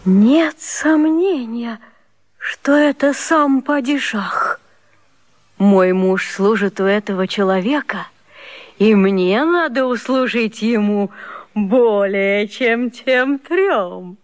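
Sly, flattering wife for radio comedy: high voice, leisurely, echoing hall. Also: female voice, takes it slowly. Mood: calculating. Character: clever, obliging young wife, flattering and sly. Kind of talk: radio vaudeville acting.

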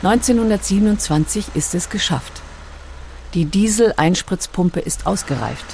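A woman narrating a scripted documentary in a measured, composed manner. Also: mid-range voice, animated, creaky, background noise.

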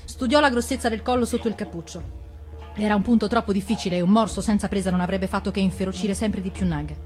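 A woman's voice: medium pitch, fast, a little mellow. Female storyteller, dramatized fairy-tale narration, mid-range voice, light music underneath. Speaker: actress reading a fairy tale.

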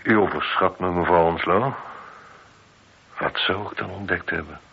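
A low-voiced police inspector in a radio drama, sceptical and sardonic. He questions drily and gives brisk orders in a husky voice, on a muffled recording. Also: heavily acted. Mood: probing, dry. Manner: dry, sardonic, brisk with subordinates. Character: sceptical, probing, commanding male police inspector. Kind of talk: radio play acting.

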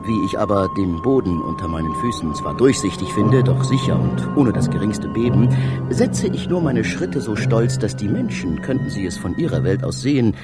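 An actor playing an awestruck traveller in space, a dreamy and proud poet-philosopher, declaiming in a literary German radio drama. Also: male voice, fairly low pitch, big pitch swings, normal speed, loud music behind the voice. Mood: philosophical wonder. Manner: declamatory, rapturous, theatrical.

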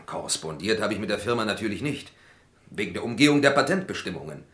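An actor playing an accused lab worker in a vintage radio crime drama, formal, hesitant and protesting. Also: male voice, fairly low pitch, very animated, fast talker, fairly bright tone. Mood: defensive, explaining. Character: accused male lab worker, defensive and evasive. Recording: roomy old radio play recording.

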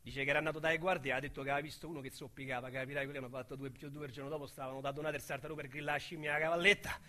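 An actor with a Roman accent playing a boastful small-time male crook in a radio drama, talking in a colorful, slangy way that swings between loud bragging and hushed menace. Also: a little high, fairly even intonation, rapid-fire. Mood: brooding. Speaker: narrator-protagonist.

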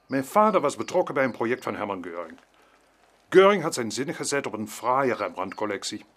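Brusque, busy landowner. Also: male voice, medium pitch, fairly bright tone. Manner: brisk, matter-of-fact. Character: brusque, busy landowner, son of a painting thief. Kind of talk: radio drama acting.

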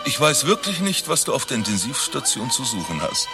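An actor playing a vain, scatterbrained doctor with a theatrical manner in a satirical radio play. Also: male voice, medium pitch, sing-song, a little fast, over loud music. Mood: melodramatic. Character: vain, scatterbrained doctor hamming a soap opera chief physician.

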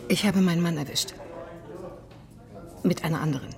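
An actress playing a betrayed, determined stewardess in a naturalistic radio drama, speaking in short, controlled sentences. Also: female voice, on the low side, moderate pace, creaky. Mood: hurt, controlled. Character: betrayed, determined airline stewardess consulting a detective. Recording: music under the voice.

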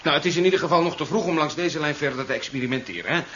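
Male actor, confident brisk scientist, slightly high voice, seventies radio play, muffled tape with faint noise. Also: a bit bright, lightly acted. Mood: matter-of-fact. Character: brisk, self-assured male scientist doctor running experiments. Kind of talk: 1970s Dutch radio play acting. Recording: dull vintage radio recording, faint noise.

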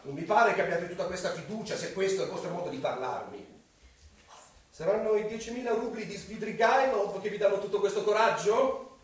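An actor playing a pompous, easily offended suitor in a radio drama, with a formal, indignant manner. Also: male voice, high voice, a little fast, lightly acted. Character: pompous, offended, arrogant middle-class suitor. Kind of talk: Italian radio drama acting. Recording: very echoey, distant, music underneath.

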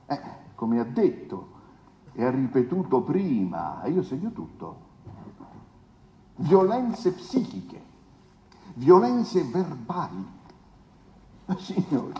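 Casting: a pompous, insinuating defence lawyer, theatrical oratory, higher-pitched male voice with dramatic swings, in a reverberant hall. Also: a little mellow, exaggerated voice. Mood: indignant, sardonic. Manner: pompous, declamatory, rhetorical pauses and questions. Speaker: stage actor. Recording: echoey hall with long reverb.

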